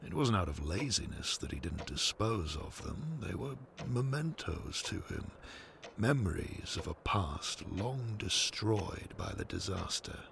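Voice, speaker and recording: A man narrates an audio drama in a low, gravelly voice. His storytelling is slow and measured, like a storybook being read out. There is clear noise and ambience behind him. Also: big pitch swings.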